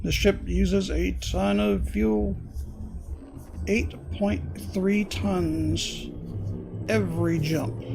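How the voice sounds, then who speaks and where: A man streaming a space game, thinking aloud in casual commentary, hoarse and losing his voice, often clearing his throat. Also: sits high, steady tone, extremely slow, long pauses, over music.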